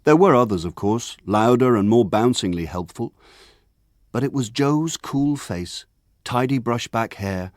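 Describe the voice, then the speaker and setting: An actor narrating a radio drama as a grown man looking back on his village boyhood in a measured, lyrical way. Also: medium pitch, expressive, no echo, studio quality, lightly acted. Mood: wistful, tender.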